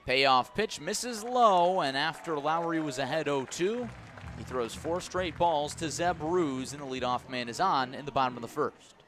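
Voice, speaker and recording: A man's voice: fairly high pitch, moderate pace, a bit bright. A man giving live radio play-by-play of baseball, in clipped descriptive phrases that rise with the action. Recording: noisy outdoor ballpark ambience.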